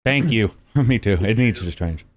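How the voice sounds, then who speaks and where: A man with a fairly low voice chats casually, agreeable and easygoing. He laughs readily and backs up the others' points. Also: expressive. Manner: agreeable, chatty, quick to laugh. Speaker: podcast guest. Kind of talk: casual discussion.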